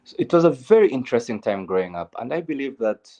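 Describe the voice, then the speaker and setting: A man's voice: mid-range voice, sing-song, fast, mellow. A young man with a Zambian accent, a guest in an interview, speaking earnestly and candidly about his life, with frequent fillers and set phrases. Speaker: guest, LGBTQ advocate. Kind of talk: interview, personal story.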